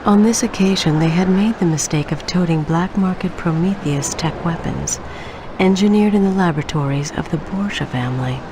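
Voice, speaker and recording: A woman acts as a grave storyteller for a violent video game, her voice low and solemn. She delivers scripted narration with ominous drama, over clear background noise. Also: moderate pace, close to an everyday voice. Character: grave adult woman narrator recounting a hunter's bloody tale.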